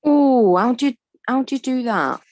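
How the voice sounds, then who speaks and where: A woman's voice: on the high side, expressive, normal speed, a little mellow, dry recording, lightly acted. A woman voicing a casual, slightly confused wolf puppet in a comedy puppet show. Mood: mildly confused. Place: video call.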